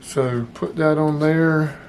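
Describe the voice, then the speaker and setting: A man's voice: on the high side, fairly even intonation, takes it slowly, a little mellow, a little hiss or hum. A man giving a casual, plain-spoken product review as the host, talking informally to his viewers. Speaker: channel host reviewing radio gear.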